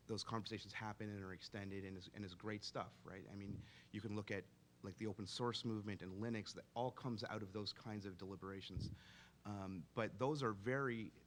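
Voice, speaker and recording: A man's voice: on the low side, slight background noise. A man speaking as a city government technology advisor on a public forum panel, candid and thinking aloud with frequent restarts. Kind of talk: panel discussion.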